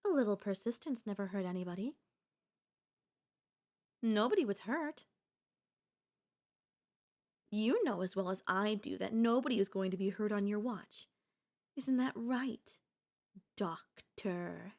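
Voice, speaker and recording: A woman's voice: medium pitch, expressive, measured pace, long pauses, a little mellow. A woman playing a doting, possessive girlfriend in an ASMR audio roleplay, speaking in flowery, coaxing endearments with a teasing elegance. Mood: persuasive. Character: doting, possessive yandere girlfriend, sweetly insistent and theatrical.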